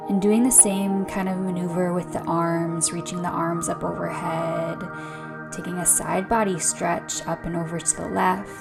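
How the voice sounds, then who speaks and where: Slow, hushed woman guiding yoga. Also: fairly low pitch, creaky. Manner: soothing, hushed, unhurried. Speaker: yoga and meditation instructor. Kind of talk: guided gentle yoga and meditation. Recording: soft music behind the voice. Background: music.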